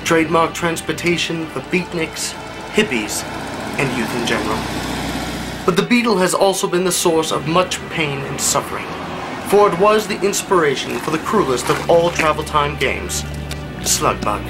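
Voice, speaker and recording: A man reading mock-serious documentary narration in a grave, deadpan manner. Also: on the high side, normal speed, poor audio. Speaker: voice-over narrator. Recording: loud music under the voice. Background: loud music.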